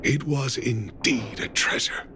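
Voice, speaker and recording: A man's voice: mid-range voice, slow, bright, forceful tone, slight background noise. An actor playing a kindly, resigned father and miner in a video game, hesitant and wistful.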